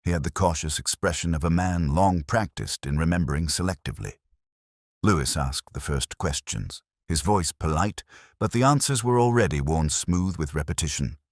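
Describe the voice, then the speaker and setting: A man narrating a literary detective story in a measured, reflective, melancholy manner. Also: deep voice, expressive, no room sound, clean studio sound.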